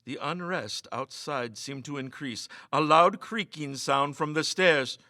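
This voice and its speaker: A man's voice: a little high, a little slow, bright, forceful tone, dry recording, clean studio sound. A man reading a ghost story as dramatic, suspenseful scripted narration, in a theatrical manner. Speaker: story reader.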